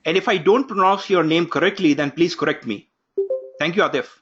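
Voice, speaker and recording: Man, online course instructor, brisk instructions, roll call, muffled lo-fi call audio, home office. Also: on the high side, a bit bright, husky. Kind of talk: live online class introduction. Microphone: video call.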